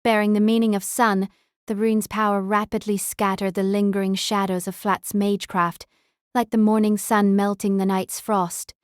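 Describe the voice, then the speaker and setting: A woman reading a novel as audiobook narrator, in a measured, literary storytelling manner. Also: mid-range voice, little pitch movement, clean tone, no room sound, studio quality.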